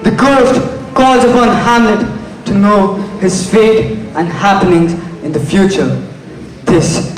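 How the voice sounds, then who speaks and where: A man's voice: sits high, expressive, takes it slowly. A young man giving scripted stage narration. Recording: echoey, distant mic, music behind.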